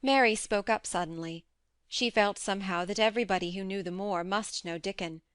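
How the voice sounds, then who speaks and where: Smooth-voiced woman narrating a storybook. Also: mid-range voice, fairly quick, no room sound, clean studio sound. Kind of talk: scripted audiobook narration.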